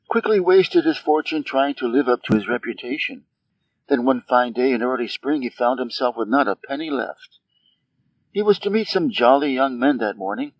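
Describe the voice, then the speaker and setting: A man's voice: medium pitch, no echo. Man reading fables, dry muffled sound. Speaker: story reader. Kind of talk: scripted fable narration for English learners.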